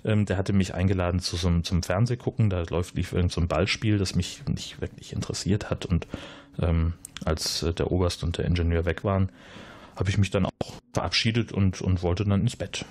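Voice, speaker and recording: A man's voice: steady tone, fairly quick, darkish tone, no echo. A man playing a nervous, evasive base resident who rambles with many hesitations and fillers in an audio drama. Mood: flustered. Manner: rambling, hesitant, many fillers, jumps up in pitch when flustered.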